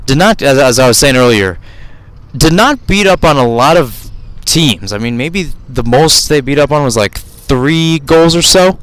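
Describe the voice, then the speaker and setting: A man's voice: mid-range voice, animated, measured pace, fairly bright tone. A man calling live soccer play-by-play for radio from outdoor fields, talking in a rambling way and often correcting himself.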